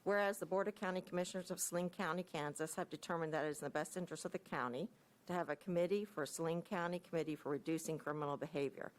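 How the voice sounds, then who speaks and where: A soft-spoken American Midwestern woman, a county director presenting at a commission meeting on a desk microphone, hesitant and full of fillers. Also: fairly low pitch, fast, rough recording.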